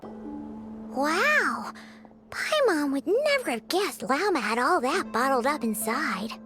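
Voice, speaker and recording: A woman voicing a small, chirpy, excitable female fairy companion in a video game, with a squeaky childlike voice and exclamatory manner. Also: slow pace, soft background music, heavily acted. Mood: amazed. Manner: refers to self in third person, exclamatory. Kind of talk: video game cutscene voice acting.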